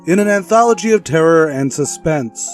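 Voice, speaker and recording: A man's voice: a little high, animated, normal speed. A man reading a scripted podcast announcement in the manner of a retro radio show. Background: music.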